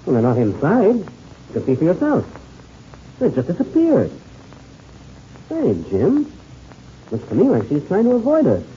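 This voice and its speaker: Excitable hearty pilot, huge pitch swings, muffled hissy old radio drama. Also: male voice, measured pace, long pauses, full character voice. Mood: baffled, urgent. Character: hearty, excitable adult American pilot, companion to a boy. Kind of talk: old-time radio adventure serial acting.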